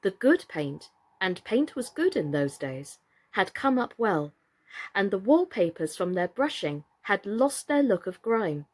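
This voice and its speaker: A woman reads a children's storybook aloud. Her pace is slow and measured, and her intonation moves widely to keep the narration expressive. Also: medium pitch. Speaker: story reader, actress.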